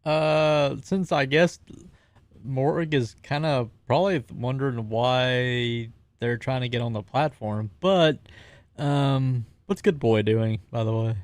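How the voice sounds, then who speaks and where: A man's voice: medium pitch, slow, no echo. A man casually describing his character's actions as a player in a tabletop roleplaying game.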